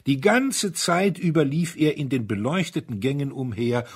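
A man voicing a gentle, thoughtful priest detective, explaining a crime in a deliberate, rhetorical storybook monologue. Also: mid-range voice, breathy edge. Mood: emphatic.

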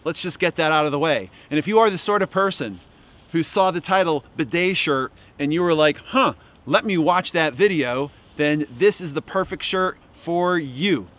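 A man in his fifties giving a dry, mock-salesman comic product pitch outdoors in bare woods, close to the camera. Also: moderate pace, fairly bright tone. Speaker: outdoor gear vlogger.

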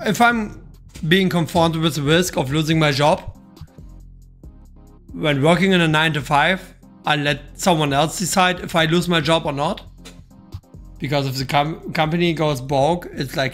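A man's voice: a little high, unhurried, a bit bright, faint music behind. A German-speaking man streaming a game, chatting loosely with a friend and swearing now and then. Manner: loose, swearing, restarts often. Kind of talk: casual gaming stream chat.

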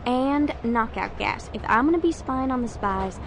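A woman's voice: a little high, animated, moderate pace, creaky. A woman playing an eager, demanding spy recruit in an audio drama. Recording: noisy train ambience.

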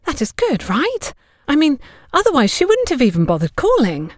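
A woman voicing a friendly, excitable young married neighbour in a chatty manner for an audio story. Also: sits high, normal speed, dry recording, studio quality, voice pushed up. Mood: excited, cheerful.

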